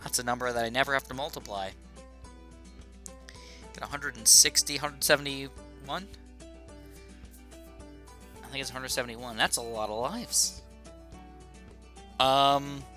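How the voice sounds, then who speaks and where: Young male gaming YouTuber, slightly raspy, bright and excitable, casual commentary. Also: mid-range voice, measured pace, long pauses, faint music behind. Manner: chatty and excitable, thinking aloud. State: slightly raspy from a sore throat.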